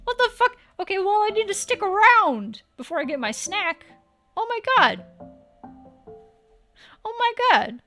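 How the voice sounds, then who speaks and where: A woman's voice: extremely high pitch, big pitch swings, a little slow, long pauses, music in the background. A woman livestreaming, chatting casually with viewers in a playful, teasing way and swearing now and then.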